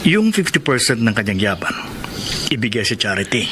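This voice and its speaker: A man's voice: medium pitch, big pitch swings, fairly quick, background noise, lightly acted. An actor playing a callous young adopted son who persuasively coaxes his sweetheart into a murder plot, in Filipino radio drama style. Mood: scheming.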